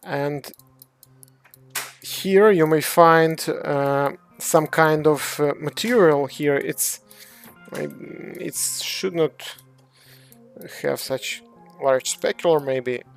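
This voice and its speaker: A speaker from Ukraine with a Ukrainian accent, a game developer casually walking through models on a livestream and answering chat in halting English full of hesitations. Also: medium pitch, vocal fry, soft background music. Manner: halting non-native English, frequent hesitations.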